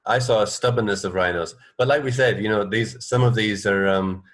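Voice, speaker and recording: Male radio co-host with a deep voice, conversational and informal, prone to hesitant repetition. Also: a little fast, a bit bright. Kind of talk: casual banter chat.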